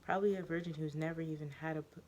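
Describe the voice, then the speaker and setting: A reader doing a shrill, breathy teenage girl. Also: fairly even intonation, rapid-fire, a little mellow, lightly acted, low-quality recording. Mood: shrieking furious.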